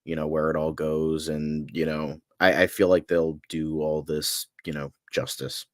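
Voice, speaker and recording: A man's voice: rumbling low, flat delivery, unhurried, no room sound, studio quality. A man co-hosting a podcast, chatting casually as an opinionated fan in a loose, rambling way full of filler phrases. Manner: rambling, peppered with "like" and "you know". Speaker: podcast co-host.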